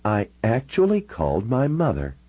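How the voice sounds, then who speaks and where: Audiobook role: troubled, candid woman, wry and informal, husky, lifted pitch male narrator performing. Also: huge pitch swings, moderate pace, dark tone, no echo. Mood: bitter, wry. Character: troubled, sardonic young-to-middle-aged woman, hard-drinking and candid. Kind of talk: audiobook character dialogue.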